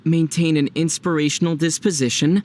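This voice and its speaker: A man's voice: fairly high pitch, steady tone, fairly quick, very noisy, lightly acted. A man playing a young traveler hero in a video game, easygoing and dryly teasing. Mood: calm. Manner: easygoing, wry.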